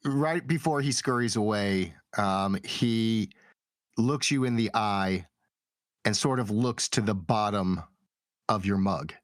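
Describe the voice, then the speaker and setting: A man's voice: on the low side, takes it slowly, no echo. Man narrating a tabletop game, dry recording. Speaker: game master.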